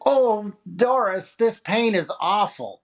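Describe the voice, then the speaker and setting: A man's voice: high-pitched, expressive, slow, over music, full character voice. A man playing a vain, self-pitying comedian who wisecracks in a plaintive, wry way, in 1940s radio comedy style. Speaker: actor in a radio comedy recreation.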